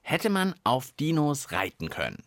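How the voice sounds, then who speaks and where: A man hosting a children's podcast in a lively, playful, conversational chat. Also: on the high side, unhurried, no room sound. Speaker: podcast host.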